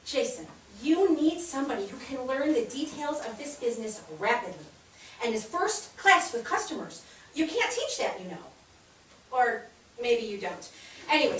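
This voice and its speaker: An actress in a staged reading playing a bubbly, bossy 1860s society wife, gushing and insistent in a theatrical way. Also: female voice, sits high, fairly bright tone, heavily acted. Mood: chatty, bossy. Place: theatre stage, recorded from a distance. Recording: echoey stage, distant mic, faint noise.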